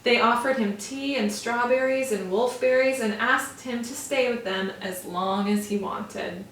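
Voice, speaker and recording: A woman teacher reading a storybook aloud to young children, with slow, expressive, drawn-out emphasis. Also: fairly high pitch, slight background noise. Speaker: first-grade teacher. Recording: roomy echo, from far off.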